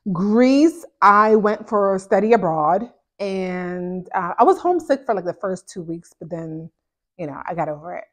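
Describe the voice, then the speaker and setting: A woman in her thirties from Ghana, a therapist hosting a casual question-and-answer chat to camera, speaking in an animated, chatty way into a lapel mic in a small indoor room. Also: mid-range voice. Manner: chatty, animated, gestures a lot while talking. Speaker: therapist and channel host. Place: small indoor home office.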